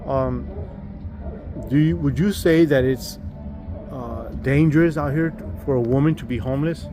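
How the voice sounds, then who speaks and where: A man's voice: mid-range voice, normal speed, music in the background. A man from Dallas hosting a live street interview, asking simple friendly questions with many fillers. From Dallas, Texas. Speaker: street interviewer, channel host. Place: outdoors by a busy road. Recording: heavy traffic noise outdoors.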